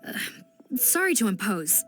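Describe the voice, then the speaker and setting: A woman's voice: mid-range voice, sing-song, normal speed, fairly bright tone, slight background noise. A woman voicing a composed female investigator who leads a team, formal, decisive and protective. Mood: firm. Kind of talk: video game voice acting. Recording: game audio with faint music.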